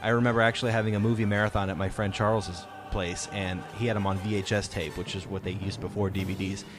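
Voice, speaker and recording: Low-voiced man chatting over music. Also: level tone, quick pace. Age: 30s. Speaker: podcast host, father talking with his children. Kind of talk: casual chat, personal storytelling.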